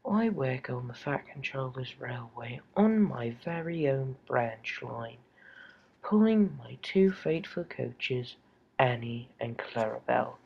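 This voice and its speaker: A man's voice: mid-range voice, expressive, takes it slowly, gravelly, breathy edge, heavily acted. A man voicing an eager, cheerful little tank engine from a children's cartoon, friendly and simple in manner. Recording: dull lo-fi home recording.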